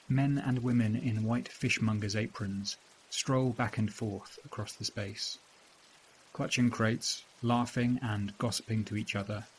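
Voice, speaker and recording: A man's voice: on the low side, lightly acted, rough recording. A man playing a wistful, haunted city dweller in a reflective, literary audio drama monologue. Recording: hissy recording with clear noise.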